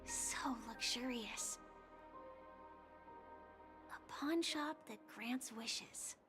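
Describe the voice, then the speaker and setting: Music plays behind a high, leisurely young female voice. She is a sly, self-assured video game stowaway, musing playfully to herself. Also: drawn-out words, long pauses. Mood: sly, curious. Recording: music under the voice.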